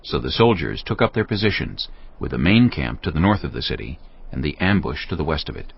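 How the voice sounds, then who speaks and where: Very deep, gravelly male narrator. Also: sing-song, lo-fi sound. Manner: measured, dramatic storytelling. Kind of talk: scripted dramatized Bible narration.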